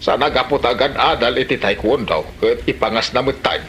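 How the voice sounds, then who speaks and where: A man's voice: mid-range voice, expressive, brisk, fairly bright tone, slight background noise, lightly acted. A man playing a gossipy, easily offended old villager in a comedy drama, with an Ilocano Filipino accent and grumbling interjections. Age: older. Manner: exclaiming, grumbling, hesitant interjections.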